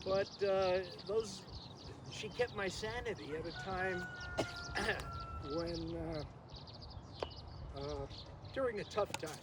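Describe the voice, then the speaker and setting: An older man giving an informal, reminiscing memorial tribute outdoors, speaking haltingly and rambling with frequent pauses. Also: high voice. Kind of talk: informal reminiscing speech to a gathering. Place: outdoors in a garden. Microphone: distant camera microphone, no working PA. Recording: noisy outdoor recording, distant microphone.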